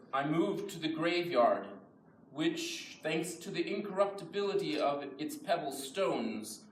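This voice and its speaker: A man reciting poems in translation at a live poetry reading, in a measured style. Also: on the high side, a bit bright. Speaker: reader of English translations. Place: live event in a reverberant room. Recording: echoey room, distant mic, faint noise.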